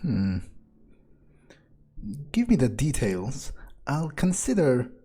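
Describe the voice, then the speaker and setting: A man plays a game in character, musing aloud with sardonic hesitation. He speaks very slowly in a dark, mellow voice, with faint music in the background. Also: mid-range voice, huge pitch swings. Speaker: game player roleplaying a character.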